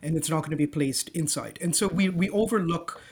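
Podcast guest: man, higher voice, calling in remotely, explanatory with many fillers. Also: brisk, darkish tone, slightly rough. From Dubai. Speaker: guest, energy company co-founder.

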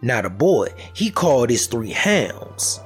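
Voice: mid-range voice, big pitch swings, takes it slowly. A storyteller retelling a folk tale in a folksy, dramatic way, with music behind. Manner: folksy, dramatic, repetitive chanting delivery.

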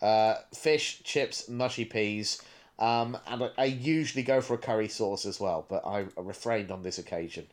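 A man's voice: lowish voice, moderate pace. A man with a British accent, chatting casually as a podcast co-host. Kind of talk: casual chat.

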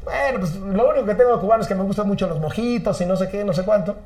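A man's voice: sits high, a little mellow, reverberant. A Mexican man in his forties, a journalist and talk show guest, telling a self-mocking story in casual chat. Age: 40s. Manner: rapid, self-mocking storyteller who quotes officials.

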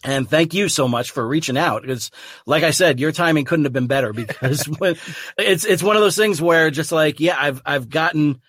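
A man's voice: on the high side, moderate pace, fairly bright tone, husky, no room sound, studio quality. A man hosting his podcast, talking earnestly and rambling a bit, with restarts and easy laughter. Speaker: podcast host. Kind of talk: casual podcast chat.

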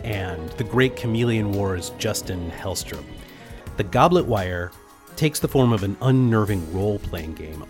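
A man reading a scripted podcast promo as its host. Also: lowish voice. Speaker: podcast host and network co-founder.

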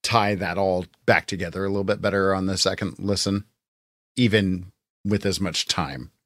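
Low-pitched man in casual podcast chat. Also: fairly even intonation, moderate pace, a bit bright, no room sound, studio quality. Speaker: podcast co-host.

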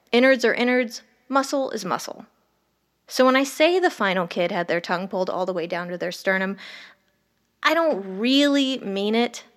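A woman playing a detached, morbidly curious ER doctor, narrating a horror audio drama in a measured, wry, clinical way. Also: mid-range voice, lightly acted.

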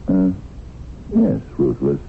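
Money-minded young husband, low-pitched, hesitant, evasive, 1970s radio drama, thin noisy broadcast sound. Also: male voice, wildly swooping pitch, slow, narrow, tinny audio, exaggerated voice. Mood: distracted, defensive. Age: young adult. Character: ambitious, money-minded businessman, distracted husband.

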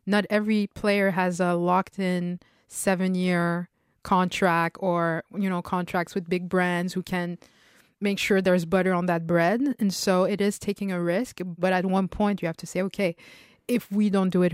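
A woman co-hosting a talk podcast with a smooth, resonant voice sitting a little low for a woman. She speaks in an animated, opinionated way and laughs easily, and the sound is close and dry. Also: moderate pace, dry recording. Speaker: podcast co-host. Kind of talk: conversational podcast discussion.

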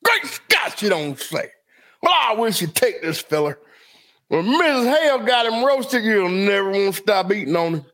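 A man's voice: high voice, very animated, normal speed, a bit bright. A man doing a folksy, pushy turkey seller. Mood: coaxing. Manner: drawling, rustic, salesman's patter.

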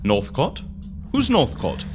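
Swooping, whimsical eccentric interviewer. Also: male voice, mid-range voice, huge pitch swings, slow pace, over music. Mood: unruffled. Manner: chirpy, playful, theatrical. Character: breezy, whimsical, cocoa-loving eccentric male interviewer. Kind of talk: audio drama acting.

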